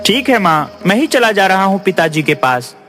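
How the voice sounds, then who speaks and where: An actor playing an honest, simple, dutiful young elder brother in a children's cartoon story. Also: male voice, high-pitched, very animated, moderate pace, a bit bright. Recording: music behind the voice.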